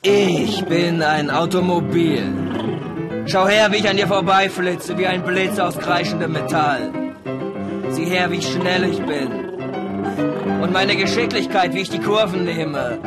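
An actor voicing a boastful, menacing automobile beast in a theatrical German radio play monologue. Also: male voice, a little high. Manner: theatrical, declamatory. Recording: music behind the voice. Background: plucked string music.

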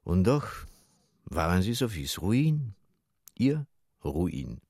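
An actor playing a sly, rambling old Polish junk-shop dealer in a German-dubbed film thriller, evasive and wheedling. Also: male voice, low voice, big pitch swings, a little mellow, dry recording, clean studio sound, full character voice. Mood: musing, wheedling. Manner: evasive, wheedling, drawn-out musing.

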